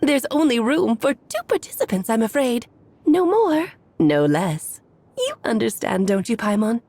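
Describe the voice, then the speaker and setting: A woman's voice: on the high side, big pitch swings, a little slow, darkish tone, breathy edge, no room sound, full character voice. A woman voicing a playful, elegant, mysterious witch aunt in a video game, speaking in a coy, theatrical, genteel manner. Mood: coy teasing. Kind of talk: video game character acting.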